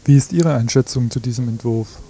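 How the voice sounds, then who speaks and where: A man conducts a radio interview with questions for his guest. His voice is mellow and medium in pitch, recorded with a little background noise. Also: a little fast. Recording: faintly noisy radio recording.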